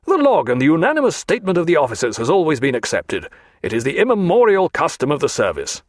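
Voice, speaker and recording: A man voicing a proud naval captain who speaks sternly and forcefully. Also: fairly high pitch, wildly swooping pitch, quick pace, dry recording, studio quality, pitched above the performer's own voice. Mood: stern indignant.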